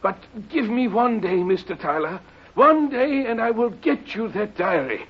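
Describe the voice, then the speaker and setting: An actor playing a desperate, shady junk dealer in a 1950s radio drama, pleading insistently. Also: male voice, high-pitched, big pitch swings, unhurried, breathy edge, exaggerated voice. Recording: dull old radio recording.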